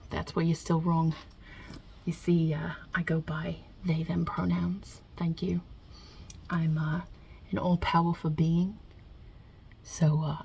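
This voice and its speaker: A low, husky voice from a woman acting the part of a non-binary God who runs heaven like a mob boss. The accent is a put-on Italian-American New York and Jersey one. The delivery is wry, blunt and offhand, with hesitant pauses, in a hushed ASMR roleplay. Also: exaggerated voice. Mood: wry, nonchalant.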